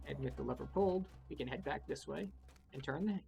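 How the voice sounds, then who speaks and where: A man's voice: fairly high pitch, a little fast, creaky. A man giving casual, wry, explanatory commentary as he guides a video game playthrough. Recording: game music behind the voice. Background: video game music.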